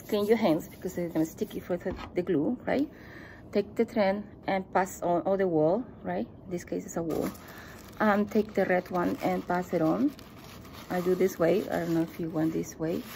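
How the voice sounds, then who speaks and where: A woman's voice: mid-range voice, darkish tone, slight background noise. A middle-aged woman whose first language is not English leads a step-by-step children's craft lesson at a table, patient and encouraging, often repeating herself. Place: indoor room with table, at a desk.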